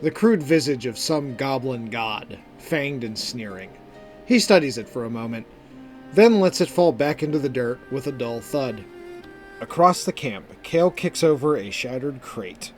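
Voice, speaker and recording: A man narrating a scripted fantasy story in a measured, deliberate, dramatic manner. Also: medium pitch, animated. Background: background music.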